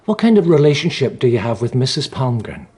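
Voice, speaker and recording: An actor playing a blunt, impertinent police interrogator in a radio drama, pressing with direct questions. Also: male voice, mid-range voice, very animated, brisk, a little mellow, husky.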